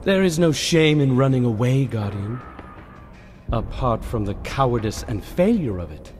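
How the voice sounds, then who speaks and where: A man as a haughty, animated mocking nobleman. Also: medium pitch, normal speed, faint music behind, natural voice. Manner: sardonic, condescending. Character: haughty, mocking male noble, sneering brother. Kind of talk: scripted game dialogue.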